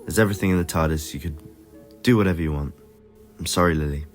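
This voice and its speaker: A man voicing a troubled, moral time-travelling adventurer who is quick to anger, speaking emphatically in a fan audio drama. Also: a bass voice, faint music behind. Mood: weary, confused. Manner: emphatic, indignant.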